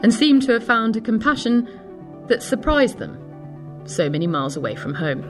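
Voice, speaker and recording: Lively woman narrating a news report. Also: fairly high pitch, normal speed, music in the background. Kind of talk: scripted news feature narration.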